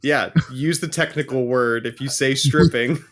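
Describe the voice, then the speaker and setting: Mid-pitched man chatting casually. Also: normal speed, a bit bright. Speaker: podcast co-host. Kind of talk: casual podcast chat.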